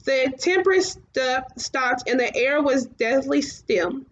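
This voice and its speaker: High-voiced woman reading a storybook aloud. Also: lively intonation, dull audio, a little hiss or hum. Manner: halting, often stumbles and rereads phrases. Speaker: storybook reader. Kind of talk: read-aloud children's fantasy narration.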